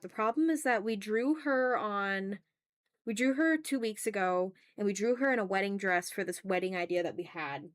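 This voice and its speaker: Chatty woman hosting a casual art livestream. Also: medium pitch. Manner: informal, chatty, breaks off to greet viewers. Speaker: host of an art livestream, video creator. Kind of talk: casual livestream chat with viewers while drawing. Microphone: streaming mic, newly placed a little far away.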